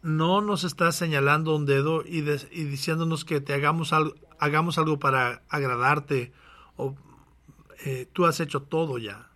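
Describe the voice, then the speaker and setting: An earnest pastor calls his congregation to action and then moves into prayer. His voice sits a little higher than most men's. He often breaks off and restarts his phrases. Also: fairly even intonation, normal speed, sharp tone. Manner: earnest, exhorting, with frequent restarts. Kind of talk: sermon with closing prayer.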